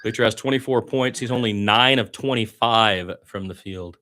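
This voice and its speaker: A man with a fairly low voice commentates a basketball game live, radio style. He follows the action in short calls and adds exasperated, partisan remarks as a fan of one team. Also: moderate pace, bright, forceful tone.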